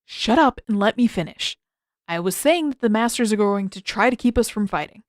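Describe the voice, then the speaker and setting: A woman's voice: medium pitch, animated, brisk, dry recording, natural voice. A woman narrator acts out a sarcastic teenage boy from an adventure story. He talks casually and with exasperated emphasis, blunt but protective underneath. The sound is very dry, with no room around it. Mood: grudging, annoyed.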